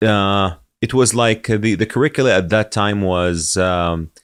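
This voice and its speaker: Deep-voiced man, hesitant. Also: steady tone, unhurried, clear, resonant tone. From Saudi Arabia. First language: Arabic. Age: 40s. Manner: hesitant, many fillers and restarts, laughs easily. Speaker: podcast guest. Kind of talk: conversational interview.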